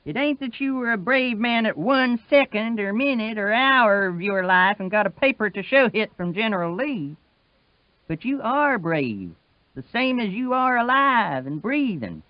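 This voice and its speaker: High-pitched old Southern rustic. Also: male voice, animated, no echo, muffled recording. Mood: devoted, reverent. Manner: rustic dialect speech, patient and slow.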